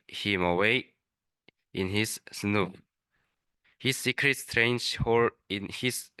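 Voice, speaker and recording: A young man, a native Korean speaker, reading a rhyming children's story aloud haltingly, stumbling over words. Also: on the low side, bright, forceful tone, clear, resonant tone, dry recording, poor audio. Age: young adult. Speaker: student reader.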